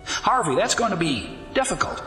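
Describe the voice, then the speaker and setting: An actor playing a nervous, placating corrupt city politician in an audio drama, pleading and conciliatory. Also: male voice, sits high, big pitch swings, normal speed, sharp tone, full character voice. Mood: placating, anxious. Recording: echoey hall, faint music underneath.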